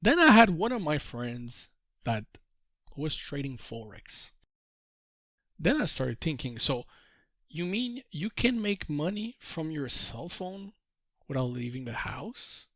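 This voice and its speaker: A man with a French Canadian accent, a native French speaker, presenting to a group call in halting English and telling his story with repeated refrains. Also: a little high, big pitch swings, fairly bright tone, dry recording, lo-fi sound. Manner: self-deprecating, halting English. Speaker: trading course presenter.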